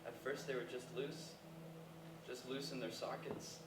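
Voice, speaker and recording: A man's voice: medium pitch, little pitch movement, unhurried, lightly acted. Actor as an earnest, hesitant young man, echoey stage. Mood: troubled, recounting. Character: earnest, hesitant young male dropout, quietly reflective. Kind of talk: staged play reading, naturalistic dialogue. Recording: echoey, distant, noisy live stage recording.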